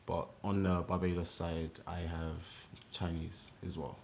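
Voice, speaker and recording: A young man answers interview questions in a very deep, gravelly voice. He talks casually and haltingly, with many pauses and fillers. A faint hiss of room noise sits under him. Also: flat delivery, darkish tone. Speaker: documentary interviewee. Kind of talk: candid interview.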